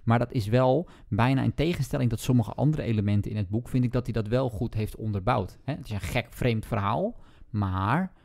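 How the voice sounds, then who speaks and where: A man chatting casually about books as a podcast host, speaking haltingly and hedging as he thinks aloud. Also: medium pitch, creaky, darkish tone, no echo, studio quality. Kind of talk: casual book discussion.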